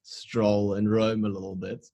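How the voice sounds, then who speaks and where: A man co-hosting a casual online chat, easygoing and quick to laugh. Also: lowish voice, level tone, mellow, breathy edge. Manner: laid-back, laughs a lot. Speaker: co-host. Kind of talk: casual video-call chat. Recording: music playing behind.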